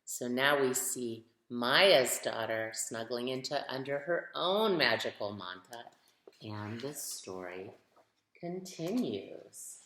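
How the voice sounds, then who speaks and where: A woman's voice: extremely low pitch, expressive, takes it slowly, fairly bright tone, slight background noise. A woman reading a picture book aloud to children, expressively, putting questions to her young listeners. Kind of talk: storytime read-aloud for children. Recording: roomy, echoing sound.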